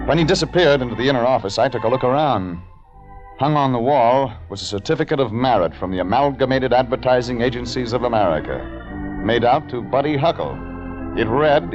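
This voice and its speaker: A man playing a laconic, wry private detective in a 1940s radio drama, terse and deadpan. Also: mid-range voice, lightly acted. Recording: muffled vintage radio recording, music behind.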